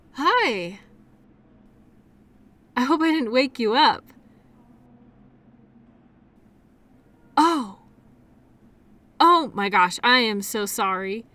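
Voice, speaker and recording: A woman acts out a kindly, awkward female medical worker in an intimate audio drama. Her voice sits fairly high and she takes her time, rambling hesitantly and stumbling into apologies as she speaks to the listener. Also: sing-song, very slow, long pauses, bright, forceful tone, exaggerated voice. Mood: flustered, impressed. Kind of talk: audio roleplay acting, addressing the listener.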